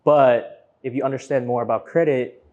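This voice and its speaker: A man's voice: mid-range voice, fairly even intonation, normal speed, phone-quality sound. A man from Vietnam who grew up in Florida, giving casual, informal interview answers that explain things step by step. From born in Vietnam, grew up in Florida from age nine. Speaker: interview guest, business owner.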